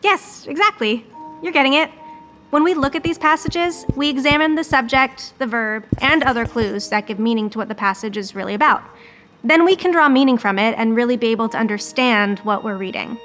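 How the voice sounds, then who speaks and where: High-voiced, earnest American woman teacher played by an actress. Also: brisk, a bit bright, faint music behind, lightly acted. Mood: encouraging. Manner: instructive, earnest. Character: earnest, passionate female volunteer reading teacher.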